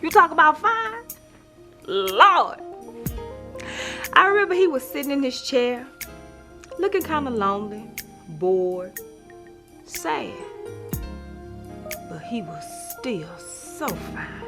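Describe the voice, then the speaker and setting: An actress playing a wry, love-struck woman who tells her life story in an expressive, sassy, conversational stage monologue. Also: on the high side, slow, long pauses, voice pushed up, exaggerated voice. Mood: smitten, sassy. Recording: music playing behind the voice.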